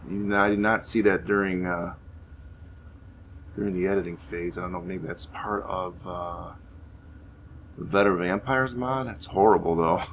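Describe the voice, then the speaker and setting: Man, low voice, game commentary and storytelling, informal, explanatory, faint noise behind. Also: fairly bright tone. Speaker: gaming series host. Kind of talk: roleplay let's play commentary with story narration.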